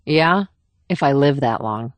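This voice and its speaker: A woman's voice: rumbling low, expressive, unhurried, dry recording. A woman playing a guarded, world-weary covert operative with an understated manner in an audiobook. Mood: weary, unsure. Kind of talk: audiobook character dialogue.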